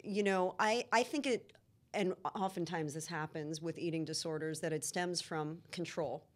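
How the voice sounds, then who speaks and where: A woman's voice: fairly low pitch, moderate pace. A middle-aged woman from Egypt, talking casually as a guest chef, chatty with frequent ums and laughs. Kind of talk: casual interview chat.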